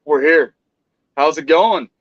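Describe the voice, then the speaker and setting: A man's voice: on the high side, takes it slowly, dry recording, lo-fi sound. A man hosting a casual live stream, chatting loosely with many restarts about family and knives. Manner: relaxed, rambling with restarts.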